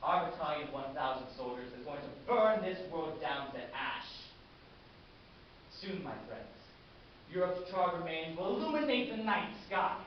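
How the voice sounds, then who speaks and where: A man playing a fanatical, war-loving military commander in a grandiose, savoring theatrical monologue, addressing his battalion with deliberate oratory. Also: fairly high pitch, animated, close to an everyday voice. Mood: triumphant, menacing. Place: large echoing room, festival stage performance. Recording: echoey hall from far off, muffled, hissy.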